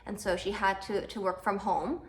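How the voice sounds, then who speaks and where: A woman in her thirties, from Canada, talks casually to her viewers at a mid pitch. She rambles a little, with plenty of ums and uhs, and pokes fun at herself. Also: steady tone, moderate pace, slight background noise. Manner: chatty, self-deprecating, many fillers. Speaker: vlogger. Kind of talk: casual chat to camera.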